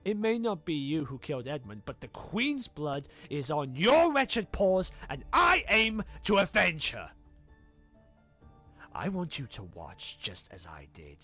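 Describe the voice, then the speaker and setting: Slow, swooping theatrical pony villain. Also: male voice, on the high side, wildly swooping pitch, sharp tone, faint music behind, full character voice. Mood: vengeful. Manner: theatrical, savoring words, taunting. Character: scheming, gloating male villain pony impostor, theatrical and cruel. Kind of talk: animated fan drama villain acting.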